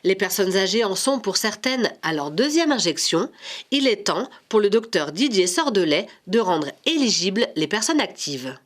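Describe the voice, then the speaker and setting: A woman narrating a local news report in a measured, scripted voice-over. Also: mid-range voice, sing-song.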